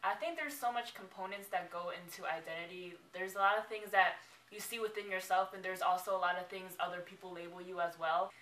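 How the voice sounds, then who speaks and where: College girl from philly chatting in an interview, bright lowish voice, bit far from mic. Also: fairly even intonation, fairly quick, slight background noise. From Philadelphia, United States. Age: late teens. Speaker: student interviewee. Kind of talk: casual on-camera interview. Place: small bare room indoors. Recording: echoey room, slightly distant mic.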